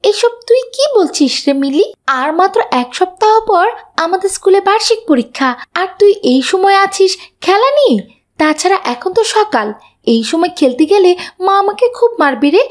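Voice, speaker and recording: A woman's voice: extremely high pitch, lively intonation, moderate pace. A woman voicing a studious, dutiful little schoolgirl in a children's cartoon, earnest and pleading. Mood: earnest, protesting. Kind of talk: scripted cartoon dubbing.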